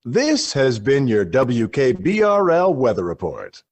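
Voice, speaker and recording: A man's voice: medium pitch, wildly swooping pitch, measured pace, a little hiss or hum. A man playing a relentlessly upbeat, cheesy news and weather anchorman in a parody radio broadcast for a game, with sing-song delivery. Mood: cheery. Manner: exaggeratedly cheerful, sing-song.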